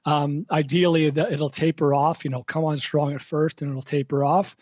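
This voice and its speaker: A man with a hoarse, raspy voice, a show regular giving a halting, filler-heavy health update in casual podcast chat. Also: a little high, level tone, a little mellow, no echo, clean studio sound. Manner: halting, many fillers and restarts.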